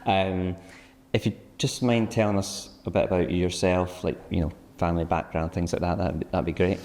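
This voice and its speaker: A man's voice: low-pitched, level tone, normal speed, a little mellow, big-room sound. A man hosting a podcast interview, asking friendly, encouraging questions. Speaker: podcast host.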